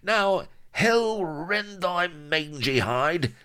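A man's voice: high-pitched, lively intonation, extremely slow, sharp tone, clear, resonant tone, voice pushed up, heavily acted. A man voicing a vain, lustful, hot-tempered pirate woman in a story, shifting between languid drawl and piratical bluster. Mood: furious bluster.

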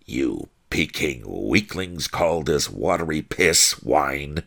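A man's voice: extremely low pitch, animated, takes it slowly, gravelly, fairly bright tone, heavily acted. Man playing a roaring, boastful thug. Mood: belligerent boasting. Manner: roaring, blustering.

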